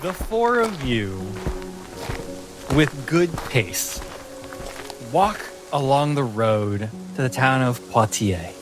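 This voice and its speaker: A man's voice: mid-range voice, sing-song, takes it slowly, pauses often, over music. A man acting as game master, narrating a tabletop game with descriptive, casually phrased improvisation.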